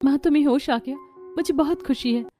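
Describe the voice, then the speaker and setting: A woman's voice: high-pitched, a little fast, dark tone, soft background music, natural voice. A woman voicing a hurt, self-respecting young daughter-in-law with an earnest, emotional delivery. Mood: relieved.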